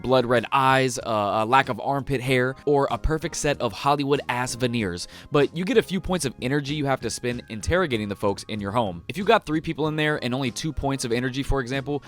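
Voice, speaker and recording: A young American man reviewing a video game for viewers in a casual, slangy, profane and emphatic way. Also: mid-range voice. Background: music under the voice.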